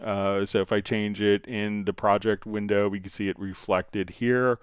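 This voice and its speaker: Low, smooth-voiced man explaining software. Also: flat delivery, normal speed, no room sound, studio quality. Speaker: livestream host answering viewer questions. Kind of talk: unscripted software tutorial, casual explaining.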